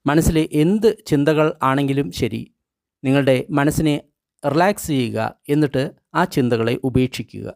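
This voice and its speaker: A man reading guided meditation instructions in short, measured phrases with pauses between them. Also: medium pitch, gravelly, darkish tone, no echo, clean studio sound. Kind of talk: guided meditation, scripted instruction read aloud.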